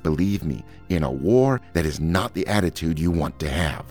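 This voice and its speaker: A biting, sardonic teacher, put on by a man, holds court over his students. The voice sits very deep yet rises and falls with lively emphasis, each word laced with bitterness, as faint music plays behind. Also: moderate pace. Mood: scornful. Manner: acid, scornful, lecturing.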